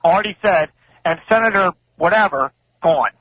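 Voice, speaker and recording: A man with a high, scratchy voice calls in to talk radio. He reports casually to the hosts over a dry, dull phone line. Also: expressive, moderate pace, no room sound. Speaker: radio caller. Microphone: telephone.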